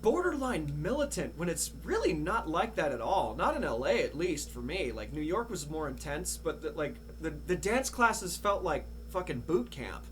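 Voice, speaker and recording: A man's voice: fairly high pitch, big pitch swings, normal speed, few pauses. A young man hosting a casual interview, thinking aloud and rephrasing as he goes. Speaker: podcast host. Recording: clearly noisy with a background hum.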